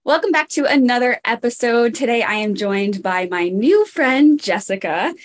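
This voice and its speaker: A woman's voice: animated, normal speed. A young woman hosting a podcast, reading an upbeat intro and then chatting casually, saying "like" a lot. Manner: upbeat, bouncy pitch, says "like" a lot. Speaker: podcast host.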